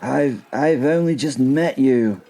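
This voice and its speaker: A man's voice: mid-range voice, slow pace, mellow, voice pushed up. A man voicing a jovial, battle-hardened medieval knight in an audio drama, with a theatrical, exclaiming manner. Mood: shaken, pained. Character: battle-hardened, jovial, wine-loving male medieval knight, indignantly loyal. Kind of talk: audio drama character acting.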